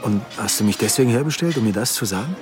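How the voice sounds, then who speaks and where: A man's voice: on the low side, animated, quick pace, over music, close to an everyday voice. An actor playing an evasive, defensive male police detective in a radio drama, speaking colloquially. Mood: placating, uneasy.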